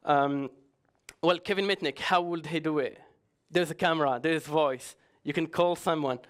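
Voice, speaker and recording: A man's voice: a little high, normal speed. A man giving a conference talk in a chatty, joking way, often hesitating and restarting. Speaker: conference speaker.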